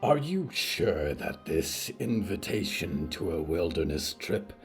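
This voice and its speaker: Man voicing a low, measured, formal demon. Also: big pitch swings, faint music behind, exaggerated voice. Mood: measured, probing. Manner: slow, deliberate, formal phrasing. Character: calm, deep-voiced demon hotel manager, low and measured.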